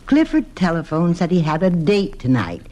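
An older American wife and mother in a vintage radio serial. She fusses and chides fondly, her voice rising and falling in lively swoops. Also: lowish voice, normal speed. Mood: chiding, motherly. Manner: chiding, motherly. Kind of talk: old-time radio drama acting.

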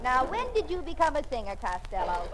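A woman's voice: high-pitched, sing-song, fairly quick, some chatter behind. A woman playing a sassy singing star who teases the comic, in 1940s radio comedy style. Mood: teasing. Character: sassy young woman singer, quick with comebacks. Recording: noisy old radio recording with audience.

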